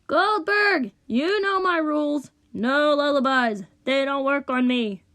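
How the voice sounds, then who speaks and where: Woman acting fussy rich storybook man, high-pitched, bossy, impatient, complaining. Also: moderate pace, lightly acted. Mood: angry demanding. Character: bossy, picky, fickle rich man demanding music. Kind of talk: storybook character voice.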